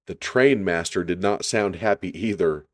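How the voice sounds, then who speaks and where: A man reading a children's story aloud in an unhurried, deliberate storytelling style. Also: low voice.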